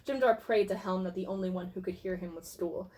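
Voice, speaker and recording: A woman's voice: fairly low pitch, a little fast, mellow. A woman giving a playfully theatrical, tongue-in-cheek dramatic reading of comic fan fiction as narrator.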